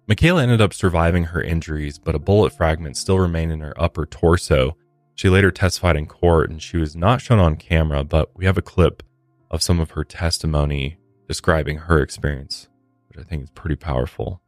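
Male true crime host, very deep, earnest measured read, dry close sound. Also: dry recording, faint music behind. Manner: earnest and measured, with occasional fillers. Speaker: podcast host. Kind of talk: true crime podcast narration and commentary.

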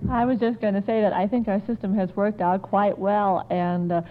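A woman, a panelist's mother, giving a measured comment on an old radio show. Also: medium pitch, steady tone. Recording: tinny old radio, faint background noise.

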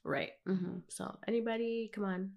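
A young woman chats easily with her co-host, rambling in a relaxed, talkative way. A lingering cough tickles her throat now and then. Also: medium pitch, moderate pace, vocal fry. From Southern California. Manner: relaxed, talkative, lots of "like" fillers. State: nagging cough. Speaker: podcast co-host. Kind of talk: casual chat.

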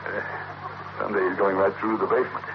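An actor playing a pompous, fussy middle-aged American uncle in 1950s radio comedy style. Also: male voice, low voice, level tone, fast, vocal fry. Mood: pompous, earnest. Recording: noisy, muffled old radio broadcast.

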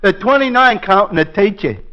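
Dim-bulb barfly, plodding, high-pitched, on very noisy old radio. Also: male voice, animated, brisk, highs cut off. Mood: earnest, dopey. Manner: dopey, plodding. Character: slow-witted, earnest, dim-bulb male barfly. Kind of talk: 1940s radio comedy acting.

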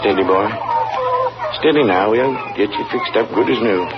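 An actor playing a steady, matter-of-fact rural neighbor who keeps hounds in a 1940s radio drama, on an old recording. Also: male voice, lowish voice, normal speed, dull audio, lightly acted. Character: plain-spoken rural hound owner neighbor. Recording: old radio recording with loud background noise.

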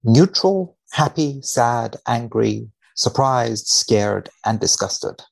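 A man speaking as a researcher guest in conversation, wry and measured, giving tongue-in-cheek commentary with mock seriousness. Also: mid-range voice, animated, vocal fry. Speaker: podcast guest, biomedical researcher.

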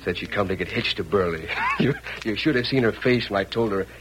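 A man acting as a scheming cowboy villain in an old-time radio western. The voice is fairly low, with an American Western drawl that needles and bullies. The recording carries light vintage noise. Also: lively intonation, brisk, lightly acted. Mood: smug.

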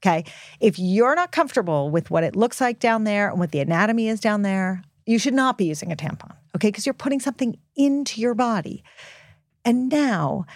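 A woman's voice: mid-range voice, expressive, brisk, a little mellow, no echo, clean studio sound. A woman speaking as an expert podcast guest, giving frank, informal and emphatic advice to young listeners. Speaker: guest author and health expert.

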